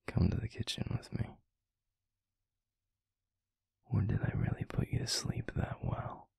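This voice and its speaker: A man playing a gentle, protective werewolf boyfriend in an intimate audio roleplay, murmuring softly and soothingly with a breathy, gravelly voice. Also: no room sound, in a whisper, lightly acted. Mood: caring, gentle. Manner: slow, soothing, unhurried. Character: gentle, protective adult male werewolf boyfriend, pack leader.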